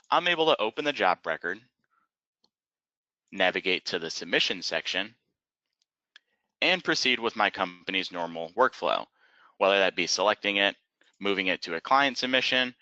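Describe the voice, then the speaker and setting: Bright, low-voiced man giving software training. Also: expressive, moderate pace, long pauses, no room sound, muffled recording. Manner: methodical, step-by-step explaining. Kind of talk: instructional webinar narration.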